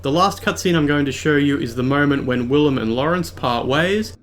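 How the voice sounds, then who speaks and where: A man giving a measured, explanatory voice-over for a game video. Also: on the high side. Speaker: video essayist. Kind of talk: scripted game commentary voice-over.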